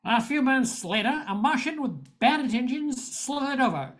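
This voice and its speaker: Woman as a high-voiced hard-boiled space-noir hero. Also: animated, normal speed. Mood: narrating, wistful. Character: jaded male space-noir bar owner, wry hero. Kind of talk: noir narration and dialogue in radio spoof.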